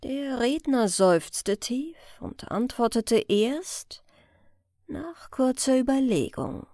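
A woman reading a story as an audiobook narrator, in a measured, expressive scripted storytelling style. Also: high voice, no room sound, studio quality.

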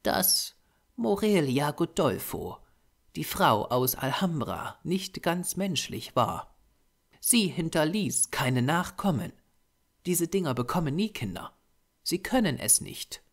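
A man voicing a grave, urgent Japanese storyteller of dark lore in an audiobook, solemn, measured and insistent. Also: a little high, very animated, exaggerated voice. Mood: quiet, ominous.

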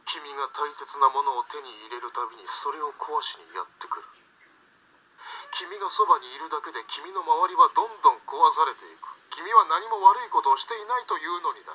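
A man's voice: fairly high pitch, pushed and bright, exaggerated voice, low-quality recording. A man voice actor playing a sinister, manipulative presence in a visual novel monologue, insinuating and theatrical, heard through computer speakers. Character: sinister manipulative male voice, coaxing and menacing. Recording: game audio re-recorded from computer speakers.

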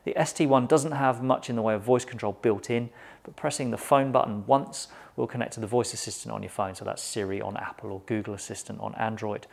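Gravelly middle-aged British man presenting a review. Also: on the low side, fairly even intonation, brisk. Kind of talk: semi-scripted product review to camera. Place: small indoor shop studio.

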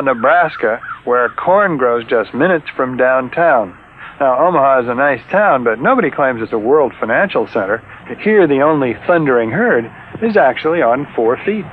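A man's voice: mid-range voice, lively intonation, a little hiss or hum. Male reporter on tinny old broadcast. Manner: measured reporter narration. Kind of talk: broadcast news interview and report.

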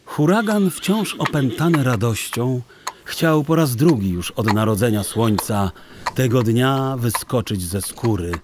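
Slow, theatrical male storybook narrator. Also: medium pitch, darkish tone, slight background noise. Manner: theatrical, deliberate, literary. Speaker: audiobook narrator. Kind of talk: expressive scripted storybook narration for children.

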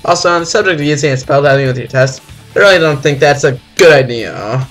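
A man's voice: fairly high pitch, lively intonation, fast, soft background music. A man hosting a let's-play, reading visual novel text aloud with exaggerated, dramatic delivery.

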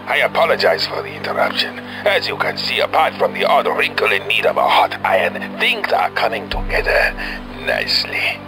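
A man's voice: lowish voice, very animated, normal speed, vocal fry, over music. An actor playing a volatile, foul-mouthed villain boss in a video game, a fat man, pompous and scornful. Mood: smug. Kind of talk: video game cutscene acting.

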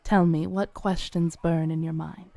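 Young woman, low mellow smooth voice, coaxing fortune-teller roleplay, dry close mic. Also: level tone, a little slow, no room sound. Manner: soft, coaxing, gently teasing. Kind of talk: scripted roleplay audio.

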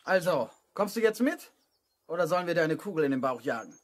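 A man's voice: fairly high pitch, sing-song, brisk, slight background noise. An actor playing a jeering, gleefully threatening gangster henchman in a 1970s children's radio play. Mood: taunting, threatening.

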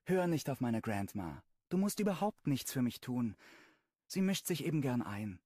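A man's voice: mid-range voice, very animated, normal speed, no room sound, studio quality, pitched above the performer's own voice. A male audiobook reader voicing a friendly, chatty young woman, raising his voice for her lines. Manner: raised, bright-sounding delivery. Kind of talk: audiobook dialogue reading.

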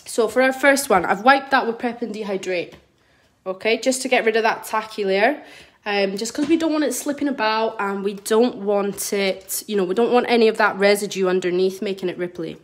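A woman's voice: medium pitch, brisk. Scottish woman chatting through a live demo. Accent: mild Scottish. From Scotland. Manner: chatty, reads out viewer comments while working. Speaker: nail artist. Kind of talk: live tutorial demonstration. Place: indoor nail studio desk. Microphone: phone camera over the work table.

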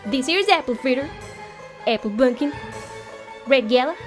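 A woman's voice: high-pitched, lively intonation, normal speed, over music. A woman voicing a hearty, friendly young female farm pony in a cartoon fan dub, with exuberant, folksy country talk.

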